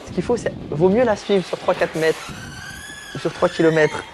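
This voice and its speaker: Young man, comedian guest, teasing and self-mocking; slightly high voice; noisy room tone behind. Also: normal speed. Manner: talks while lying down. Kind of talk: joking interview chat.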